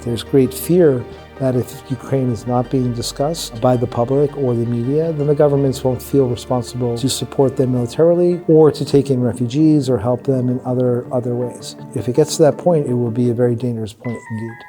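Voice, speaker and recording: A man gives measured commentary in an interview. His voice is mid-pitched and soft-edged, mellow in tone, with quiet guitar music underneath. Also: fairly even intonation. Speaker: conference participant, commentator.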